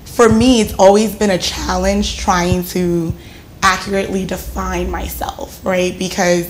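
A woman in her thirties with an American accent, a guest on a talk show who tells lively stories and keeps adding "right?" as she goes. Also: lowish voice, measured pace, slight background noise. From Ghana, raised in the United States. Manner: animated storytelling, often adds "right?". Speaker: guest, education reformer. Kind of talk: casual talk-show conversation. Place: living-room studio set, indoors. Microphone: lapel mic.

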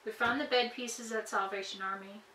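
A woman's voice: a little high, steady tone, brisk, bright, forceful tone, a little hiss or hum, poor audio. A woman in her thirties giving a chatty, upbeat tour of her camper renovation, filmed inside a small camper trailer. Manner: chatty and upbeat, showing things off. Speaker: vlogger. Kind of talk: casual home renovation tour.

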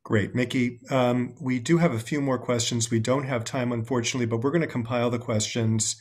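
A man's voice: medium pitch, steady tone. Formal older man hosting a video interview. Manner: measured, formal, effusive in thanks. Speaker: host. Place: home room indoors. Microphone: video call.

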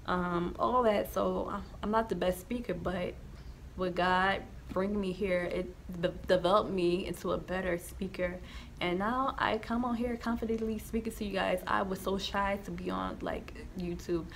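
A woman's voice: medium pitch, steady tone, normal speed, slight background noise. A young woman with a slight lisp talks casually to the camera in a small room, rambling with many ums and restarts. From New Jersey, USA. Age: young adult. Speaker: YouTuber sharing her testimony. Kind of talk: casual vlog talk to camera.

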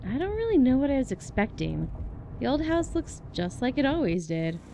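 A woman's voice: sits high, big pitch swings, normal speed, dark tone, slight background noise. A woman playing a friendly, curious, easygoing small-town young woman in a radio play. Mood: cheerful, curious.

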